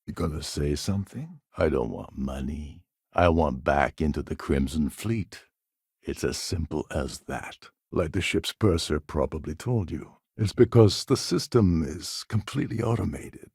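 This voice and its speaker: An actor playing a weary, wry older space pirate posing as a ship captain in a video game, gravelly and husky, with a sardonic, conspiratorial manner. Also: male voice, extremely low pitch, a little mellow, no room sound, studio quality, heavily acted. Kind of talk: video game character dialogue.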